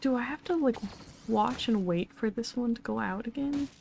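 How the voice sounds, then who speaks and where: A woman's voice: on the high side, normal speed, creaky, darkish tone, slight background noise. A woman streaming a game, casually muttering to herself as she works out a puzzle.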